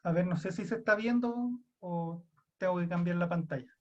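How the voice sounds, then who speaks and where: High-voiced Chilean lecturer on a muffled call. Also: male voice, moderate pace, darkish tone. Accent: Chilean Spanish. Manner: casual, self-deprecating, thinks aloud with restarts. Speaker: university lecturer. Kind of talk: online class lecture. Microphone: computer mic on a video call.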